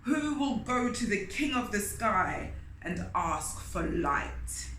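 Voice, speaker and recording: A woman's voice: mid-range voice, big pitch swings, slow, fairly bright tone. A woman voicing a big, commanding, scornful male lion king in a children's storybook, declaiming in an echoing room. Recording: roomy echo, faint background noise.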